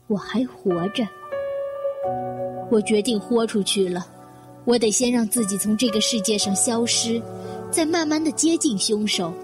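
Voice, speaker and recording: A woman acting a vengeful, resolute woman who narrates a mystery radio drama in a measured, deliberate way. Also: mid-range voice, natural voice. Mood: grim resolve. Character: vengeful, resolute woman plotting against her would-be killer. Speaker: voice actor in an amateur audio drama. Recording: echoey, long reverb, music behind. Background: background music.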